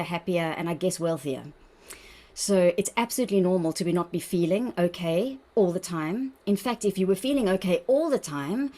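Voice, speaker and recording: South african woman running a zoom wellbeing session, slightly low voice, chatty and encouraging. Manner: warm, encouraging, chatty with laughs. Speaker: workshop presenter, registered dietitian. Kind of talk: online wellbeing workshop. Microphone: video call.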